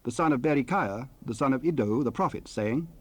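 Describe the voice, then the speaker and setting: A man's voice: medium pitch, animated, fairly quick, lightly acted. A man performing as a solemn narrator in a scripted dramatized reading of the Bible, announcing prophecy.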